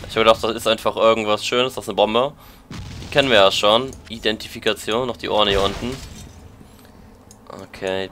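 A man's voice: fairly low pitch, sharp tone, slight background noise. A German man with a lingering cold, chatting casually as he plays and comments on a game, thinking aloud. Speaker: let's play commentator.

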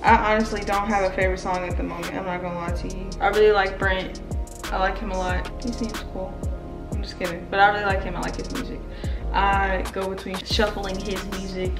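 A woman's voice: medium pitch, flat delivery, fairly quick, far from the mic. Young woman vlogging a q&a, blunt and playful, slangy, echoey room with music going. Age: 20s. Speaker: YouTuber answering fan questions. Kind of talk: casual Q&A vlog. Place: indoor room near stairs. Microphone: camera mic, filmed from below.

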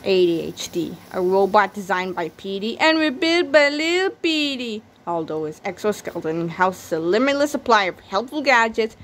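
A woman's voice: medium pitch, lively intonation, moderate pace, hardly any pauses, a bit bright. A woman reading a children's book aloud as narrator, recorded on a handheld device indoors.

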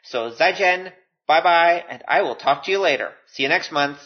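A man's voice: fairly high pitch, moderate pace, dull audio. A man hosting a podcast solo, talking informally with self-deprecating, joking asides. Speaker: podcast host. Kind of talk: casual solo podcast talk.

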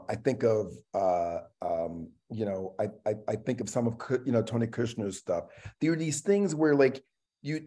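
A middle-aged man speaks at a medium pitch in casual conversation over a video call. He is reflective and halting, often repeating a word as he works toward his thought. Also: mellow. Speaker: actor, panel guest. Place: room at home, on a video call.

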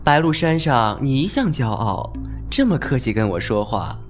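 A man's voice: mid-range voice, very animated, normal speed, faint music behind, natural voice. A man playing a venomous, mocking young disciple in a Chinese martial-arts radio drama, sneering and mock-polite.

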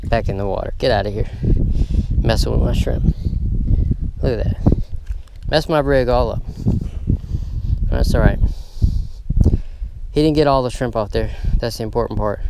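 A man hosting a fishing vlog outdoors, talking to viewers in an excited, casual way as he fishes. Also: mid-range voice, normal speed, long pauses. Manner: excited, talks straight to viewers. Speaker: fishing channel host. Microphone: handheld camera mic. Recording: outdoor camera audio, clear background noise.

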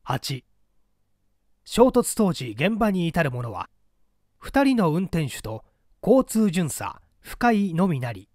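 A man voicing a young detective's stern written report in a formal, declamatory, deliberate manner. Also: a little high, very animated, darkish tone, no room sound, clean studio sound, exaggerated voice.

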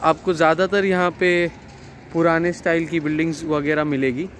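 A man in his thirties giving a casual walkthrough of an apartment, talking slowly and hesitantly with many fillers, filmed on a handheld phone. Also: on the high side, level tone, fairly bright tone, slight background noise. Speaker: property tour guide. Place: terrace and empty apartment rooms outdoors and indoors. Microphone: phone camera held at arm's length.

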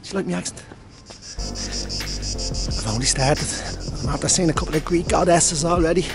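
A man's voice: on the high side, fairly quick, vocal fry. Chatty Irish man vlogging outdoors. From Ireland. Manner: chatty, friendly, thinking aloud. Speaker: travel vlogger. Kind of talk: casual travel vlog. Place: outdoors at a hilltop ancient site. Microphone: handheld camera on a gimbal. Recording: music playing in background.

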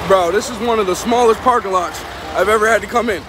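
High-voiced trucker filming himself. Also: male voice, fast, music in the background. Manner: exasperated, chatty, says bro a lot. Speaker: truck driver. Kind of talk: casual vlog to camera. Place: outdoors at farms and loading docks, and in a truck cab. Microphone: phone held at arm's length.

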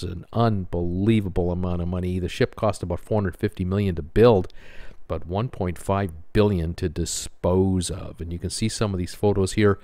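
A man's voice: low-pitched, warm tone, no echo. An older man narrating a casual cruise vlog over photos, rambling with many fillers and a wistful tone. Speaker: cruise vlogger.

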